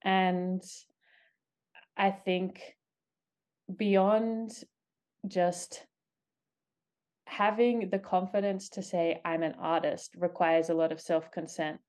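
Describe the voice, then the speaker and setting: An artist answering interview questions in a thoughtful, deliberate way, pausing between phrases. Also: medium pitch, level tone, darkish tone. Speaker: artist, podcast guest.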